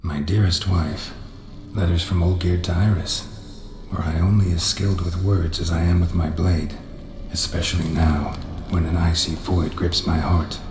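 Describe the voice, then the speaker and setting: Grave game adventurer, male actor: very deep, restrained, echoey, music underneath. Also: close to an everyday voice. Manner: low-key, solemn. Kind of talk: dramatic video game acting. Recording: echoey, with clear music behind.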